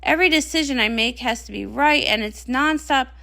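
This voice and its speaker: A woman's voice: sits high, a little fast, vocal fry, sharp tone, heavily acted. A woman voicing an earnest, stressed older sister pony princess in a comic read-along, apologetic and defensive.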